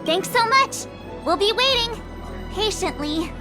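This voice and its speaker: Super high excitable detective sidekick girl, game character, chatty, bouncy pitch, music under it. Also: measured pace. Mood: cheerful. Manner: eager, dramatic, chatty. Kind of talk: video game voice acting.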